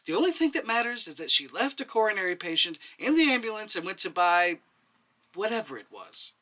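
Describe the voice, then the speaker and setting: An indignant young doctor acted out by a male story reader with a raised, piercing voice. The delivery is exacting and accusing, leaping in pitch on the stressed words. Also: sing-song, a little fast, sharp tone, heavily acted. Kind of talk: short story reading.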